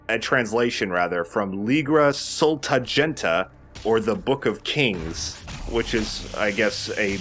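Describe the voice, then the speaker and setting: A man's voice: lowish voice, fairly bright tone, a little hiss or hum. A man hosting a casual let's play, explaining the game and giving his opinions, with some mild swearing. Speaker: host playing a video game.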